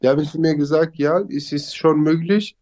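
A man's voice: a little high, fairly even intonation, moderate pace, darkish tone. A young man from Syria with a Syrian Arabic accent, speaking German as a learned language in a casual interview, with grammar slips and hesitations. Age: young adult.

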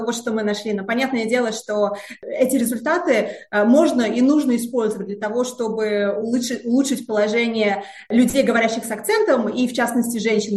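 Higher-voiced woman researcher, roomy echo. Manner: hesitant, many filler sounds and repeated words. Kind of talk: interview answers.

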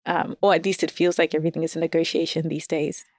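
A woman's voice: deep voice, level tone, fast, dry recording, studio quality. A woman guest in casual conversation, candid and self-deprecating, laughing often as she talks. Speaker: podcast guest. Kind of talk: casual dating chat.

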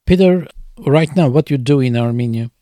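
A man's voice: medium pitch, moderate pace, dark tone, no room sound. Male interviewer, short prompts, dry close sound. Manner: brief prompts and murmured agreement. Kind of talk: casual interview questions.

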